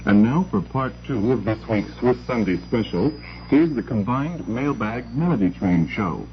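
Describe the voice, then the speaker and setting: A man's voice: medium pitch, expressive, normal speed, muffled recording, slight background noise. A man co-presenting on 1960s shortwave radio in the manner of an international broadcast announcer. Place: radio studio, heard over shortwave.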